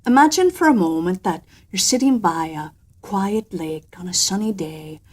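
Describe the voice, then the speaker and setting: A gentle, weary old-soldier father, voiced by a woman in a storybook tale. He explains patiently and softly, building with quiet intensity. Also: medium pitch, lively intonation, moderate pace, natural voice. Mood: hushed, gentle.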